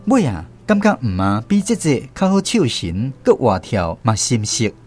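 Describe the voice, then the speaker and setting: A man with a Taiwanese accent narrates a scripted story in a folksy way. His delivery is lively, with wide swings in pitch, over faint plucked-string music. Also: moderate pace. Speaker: narrator of a radio drama.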